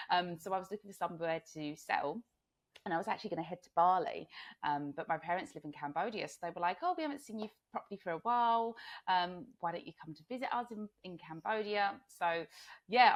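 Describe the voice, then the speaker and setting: A hoarse woman from England, a podcast guest, telling her story in a chatty way with frequent laughs and fillers. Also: fairly low pitch, fairly bright tone. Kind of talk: casual interview storytelling.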